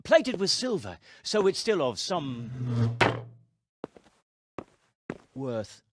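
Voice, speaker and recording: An actor playing a learned coin expert in a video game cutscene, speaking formally and hesitantly. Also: male voice, medium pitch, very animated, very slow, heavy background noise. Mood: nervous. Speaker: coin master.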